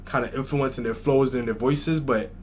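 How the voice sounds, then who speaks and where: A man's voice: medium pitch, steady tone, a little fast, crowd noise. A man from New York hosting a music reaction video, chatting casually and thinking aloud. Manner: chatty, thinks aloud with fillers like "like" and "you know". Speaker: reaction channel host.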